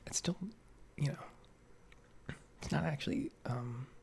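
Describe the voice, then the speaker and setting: Very gravelly, soft-spoken man rambling. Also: mid-range voice, slow pace, whispered. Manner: hesitant, meandering, understated. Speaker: gaming video creator. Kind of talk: soft-spoken ASMR gameplay ramble, casual. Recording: faint background noise, keyboard typing, clicking. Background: soft computer keyboard typing and mouse clicks.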